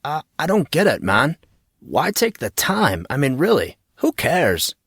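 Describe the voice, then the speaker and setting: A man's voice: fairly high pitch, wildly swooping pitch, unhurried, a bit bright, breathy edge, no room sound, clean studio sound, voice pushed up, heavily acted. A man voicing a dismissive teenage schoolboy with a slangy, offhand way of talking. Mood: skeptical, bored.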